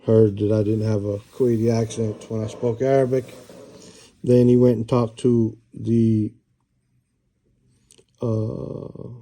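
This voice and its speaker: A middle-aged American man showing and describing books in a relaxed, rambling way, with many fillers and restarts. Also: on the low side, slow pace, pauses often. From United States. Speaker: book reviewer. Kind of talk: casual book show-and-tell.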